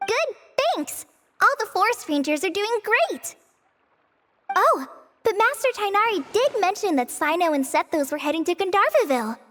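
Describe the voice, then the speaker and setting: A woman's voice: very high-pitched, sing-song, moderate pace, a bit bright, big-room sound, heavily acted. A woman voicing a modest, earnest teenage girl ranger in a video game, shy, polite and a little hesitant. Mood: relieved, cheerful. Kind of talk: animated video game voice acting.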